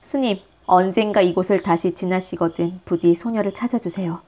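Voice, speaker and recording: A woman's voice: fairly low pitch, normal speed, dark tone, close to an everyday voice. A woman voicing a devoted, shy young maiden in a storybook, polite and earnestly pleading.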